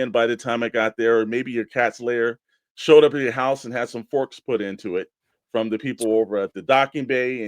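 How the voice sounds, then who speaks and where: Dude cohosting a show, mid pitch, rambles along casually, dry sound no room. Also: male voice, no echo. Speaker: co-host of a toy-collecting show. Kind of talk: casual discussion.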